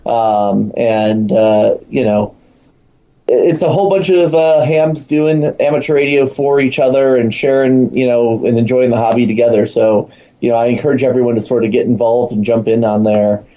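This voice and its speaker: A man's voice: medium pitch, steady tone, normal speed, mellow. A man speaking as a guest in casual, promotional talk about a program he helps run, over lo-fi call audio. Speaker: show guest, program organizer.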